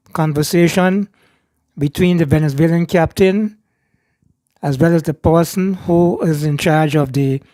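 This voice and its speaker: A man with a Guyanese accent giving casual news commentary, reading statements aloud with frequent pauses and fillers. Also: on the high side, dark tone, dry recording. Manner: reads statements aloud, many ums.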